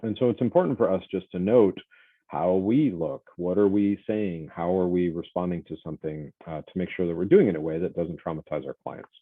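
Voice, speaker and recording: A man lecturing to a college class in a loud, projecting voice, talking conversationally with many fillers and self-corrections. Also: low voice, fairly quick, husky, no echo. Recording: dry, phone-like lo-fi audio.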